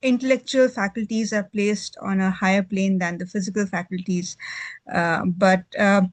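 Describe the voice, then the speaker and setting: A woman's voice: mid-range voice, steady tone, normal speed, no echo. A woman shares her views in a casual book club discussion.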